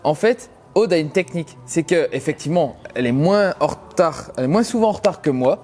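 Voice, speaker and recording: Joking man with a lively voice. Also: a little high, brisk. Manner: jokey storyteller, stammers and repeats himself. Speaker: contestant in a couple quiz. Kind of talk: joking casual banter.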